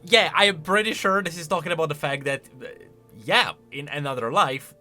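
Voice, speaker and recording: A male video host reacting casually to game lore in a conversational, questioning way. His voice is bright and fairly high, swinging animatedly up and down over faint music. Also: fairly quick. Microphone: close studio-style microphone.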